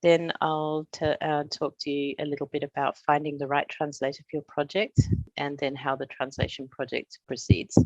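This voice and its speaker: A middle-aged woman from Australia, a translator, giving a prepared presentation in a measured, explanatory way with touches of humor. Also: deep voice, little pitch movement, no echo, muffled recording.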